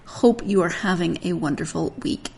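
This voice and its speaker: A woman's voice: lowish voice, normal speed, vocal fry, darkish tone, breathy edge, rough recording. A middle-aged woman hosting a podcast, chatting casually to listeners in a rambling, self-deprecating way. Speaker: podcast host.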